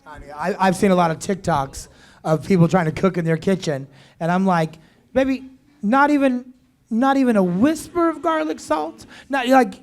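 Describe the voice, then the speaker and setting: A man's voice: high-pitched, normal speed. A man hosting a casual talk show, playful and campy, teasing his guest. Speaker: podcast host. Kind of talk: casual chat.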